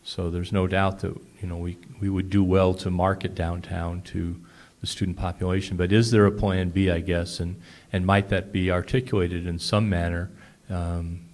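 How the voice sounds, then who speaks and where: A man giving a public comment at a city planning open house, halting with many pauses and restarts. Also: low-pitched, level tone, a little mellow. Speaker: resident commenting at public meeting.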